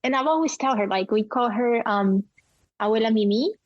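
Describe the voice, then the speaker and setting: A woman's voice: on the high side, moderate pace, no echo, dull audio. Colombian woman, candid and emotional. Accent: Colombian Spanish. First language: Spanish. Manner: emotional, candid, many fillers and restarts. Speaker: guest, cinematographer. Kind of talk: casual interview conversation.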